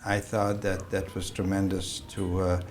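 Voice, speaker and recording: A man in his seventies from Hungary, a native Hungarian speaker, telling his life story in a slow, halting way with many fillers and restarts. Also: deep voice, completely flat delivery, slight background noise. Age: 70s. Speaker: interview guest, vascular surgeon. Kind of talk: recorded oral-history interview.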